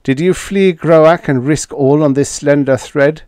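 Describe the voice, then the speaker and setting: A man doing a shrill hissing alien. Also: unhurried, a little mellow, clear, resonant tone, no room sound, clean studio sound, close to an everyday voice. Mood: agitated. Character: frantic hissing alien male, fearful and dramatic.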